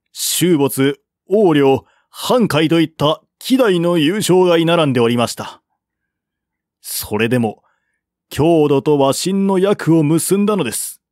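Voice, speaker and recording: Man voicing an earnest high-pitched male court envoy. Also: darkish tone, no echo, studio quality. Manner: formal, persuasive, higher-voiced. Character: earnest, learned male envoy counselling peace to his prince.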